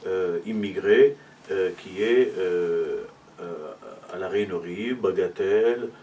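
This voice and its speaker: A middle-aged man from Morocco who works as a university arts and culture officer, answering interview questions in a measured, explanatory way, with many hesitations. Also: sits low, warm tone, recorded from a distance, a little hiss or hum.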